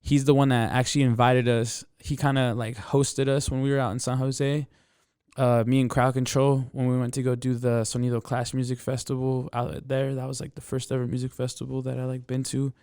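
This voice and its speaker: A young man from the States talks in an easy, slangy way, calling everyone "bro". His voice is smooth and full, and it sits close on a dry studio mic with no room sound at all. He is a guest in a relaxed interview. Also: medium pitch, a little fast, no room sound. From United States. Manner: laid-back, slangy, says "bro" often. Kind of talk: casual interview chat.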